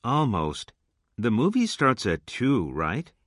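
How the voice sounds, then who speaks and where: A man playing a friendly junior high school student in scripted English listening test material, enunciating carefully. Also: wildly swooping pitch, slow pace, fairly bright tone, no echo, studio quality, full character voice. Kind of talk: scripted English lesson dialogue.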